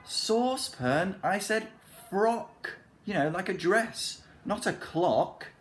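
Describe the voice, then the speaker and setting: A kind, patient young girl, as a male reader puts her on, spelling words out slowly and clearly, her voice lifted high. She sounds amused and gentle.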